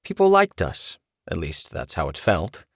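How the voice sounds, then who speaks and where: A middle-aged man narrating his own memoir as an audiobook, telling it in an animated, expressive way. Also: deep voice, a little slow, dry recording, clean studio sound.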